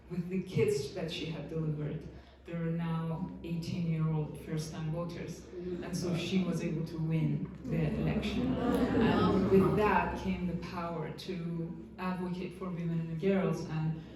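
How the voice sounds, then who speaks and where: A director from Iran on a festival panel, speaking softly and haltingly with many pauses. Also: male voice, a little high, fairly even intonation, a crowd in the background. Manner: soft-spoken, halting, many pauses and fillers. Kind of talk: panel talk. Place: panel room at a film festival. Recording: echoey room, voice from far off, faint noise.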